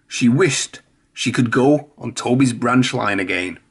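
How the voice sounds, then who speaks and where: A man's voice: mid-range voice, measured pace, husky. A man reading a children's storybook aloud, narrating expressively. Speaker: storybook reader.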